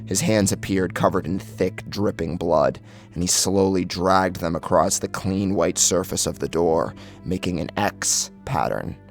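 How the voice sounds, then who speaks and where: A man's voice: low voice, unhurried. A man narrating a first-person horror story in a grave, intense, dramatic manner. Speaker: audiobook narrator. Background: music.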